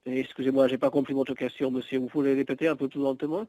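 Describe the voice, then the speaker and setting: A man's voice: mid-range voice, little pitch movement, quick pace, dark tone, no room sound. A man working as a hotel receptionist on a customer service call, polite, patient and hesitant, often repeating himself.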